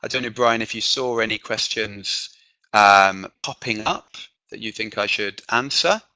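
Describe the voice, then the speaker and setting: An English man from the south whose accent carries some northern touches, presenting an online teaching session over a computer microphone. His tone is bright and forward, and the sound is dry and close. He keeps checking in with a quick "okay?" and fills his pauses with um. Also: lowish voice, dry recording, rough recording. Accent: mixed southern and northern English. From south of England. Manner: frequent "okay?" check-ins and filler ums. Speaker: webinar presenter, teacher trainer. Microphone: computer microphone on a video call.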